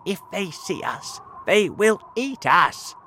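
A man's voice: expressive, slow pace, sharp tone, slight background noise, full character voice. A man voicing a fearful, fretful earthworm in a storybook, anxious and pleading. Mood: frightened. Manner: anxious, pleading, high-pitched.

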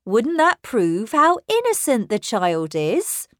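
A troubled, kindly woman of a storybook tale, put on by a woman narrator, with a raised pitch and unhurried pace. She sounds doubting and protective, asking things in an earnest way. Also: huge pitch swings, no echo, studio quality, heavily acted. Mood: grimly reassuring.

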